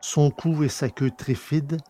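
Slightly husky male audiobook narrator. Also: on the high side, steady tone, measured pace, mellow. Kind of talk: scripted dramatic narration of a science-fiction adventure.

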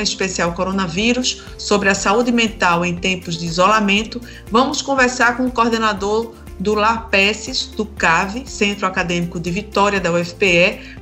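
A Brazilian woman hosts a radio interview program. Her voice is bright and forward at a middle pitch, delivering scripted introductions and questions. Faint music plays underneath. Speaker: radio host.

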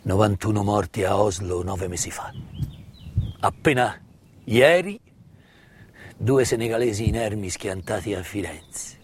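A man delivers a solemn theatrical monologue, with emphatic, rhetorical phrasing and deliberate pauses. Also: on the low side, animated, slight background noise. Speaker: stage actor performing his own monologue. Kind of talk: dramatic theatrical monologue, solemn commemorative storytelling. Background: live musical accompaniment.

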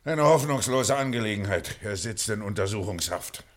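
An actor playing Peterson, an excitable working-class commissionaire, in a 1950s German radio play. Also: male voice, fairly low pitch, normal speed. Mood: explaining.

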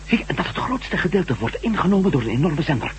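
An uneasy adventurer in a radio drama, his pitch swinging widely as he muses and hesitates. He repeats himself as he thinks aloud. The old recording carries some background noise. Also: very animated, quick pace, poor audio. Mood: intrigued. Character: Matt, uneasy adventuring former astronaut and reporter. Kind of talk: Dutch radio drama acting.

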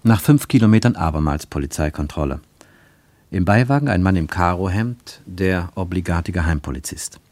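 A man reading a literary story as a scripted radio narration, with expressive, dramatic phrasing. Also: deep voice, normal speed, no echo.

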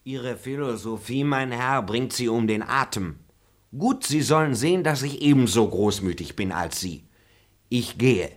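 A man's voice: medium pitch, animated, measured pace, lightly acted. An actor playing an insolent male servant who mocks his master in a radio drama. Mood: mocking. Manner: insolent, mocking. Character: cheeky grumbling male servant.

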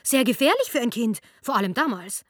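A woman's voice: fairly high pitch, animated, a little fast, faint music behind, lightly acted. An actress playing a chatty, wry female storyteller who narrates ancient history in a colloquial, digressive way for a comic radio play. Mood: wry, explanatory.

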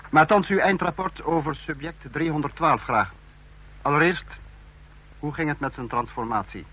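Sharp, husky imperious dictator. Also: male voice, on the high side, moderate pace, poor audio. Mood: sceptical, demanding. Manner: commanding, formal, cutting. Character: imperious, sceptical male leader of a fascist state. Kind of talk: Dutch radio play acting. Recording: old radio drama recording.

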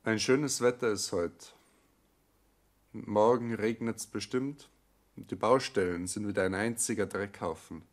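A man's voice: on the low side, measured pace, close to an everyday voice. An actor playing an evasive, business-minded young man with a Bavarian accent in a radio play, matter-of-fact and detached. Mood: detached, businesslike. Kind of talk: naturalistic radio play acting.

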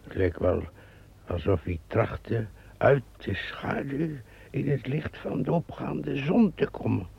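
An actor playing a brooding pond keeper who muses and stammers in a radio play. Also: male voice, medium pitch, expressive, slow pace, exaggerated voice. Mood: musing. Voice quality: gravelly, raspy. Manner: musing, stammering. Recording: dull lo-fi recording.

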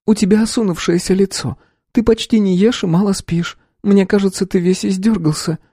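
A man voicing the narrator's young adult sister, who speaks in a high-pitched, emotional way. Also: fairly even intonation, moderate pace, mellow, slightly rough, no echo, clean studio sound. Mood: caring.

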